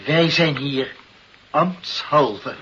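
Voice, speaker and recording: An actor playing a stern, condescending director, an official figure in a radio play. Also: male voice, on the high side, extremely slow, sharp tone, full character voice. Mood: stern, haughty. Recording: dull vintage radio recording with hiss.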